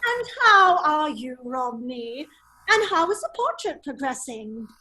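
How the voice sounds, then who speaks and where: A woman playing a witty, foppish English gentleman with a secret life, in an affected drawling manner in an amateur drama reading. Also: high voice, big pitch swings, unhurried, heavily acted, poor audio. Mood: foppish, teasing. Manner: affected, languid, drawling, exclaiming.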